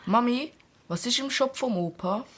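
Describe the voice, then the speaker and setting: A woman playing an anxious, sceptical boy twin with a Swiss accent in a children's radio play, wary and quick to protest. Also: fairly high pitch, husky. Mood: curious.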